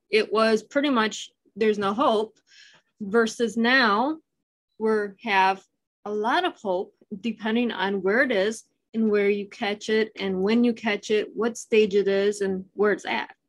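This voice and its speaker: A woman hosting a podcast interview, chatty and quick to laugh. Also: medium pitch. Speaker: podcast host. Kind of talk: casual interview chat.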